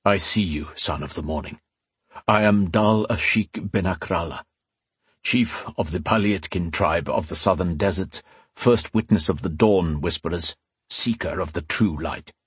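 Man voicing a solemn, low, hushed desert chief. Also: a little slow, husky, no room sound, full character voice. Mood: solemn, reverent. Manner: formal, stately, ceremonious. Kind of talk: fantasy audiobook character acting.

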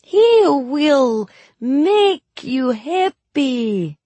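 A woman reading an audiobook voices a young woman telling fortunes in a deliberately awful foreign accent, with broken grammar. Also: high-pitched, sing-song, mellow, dry recording, pitched above the performer's own voice, exaggerated voice. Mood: mock-ominous.

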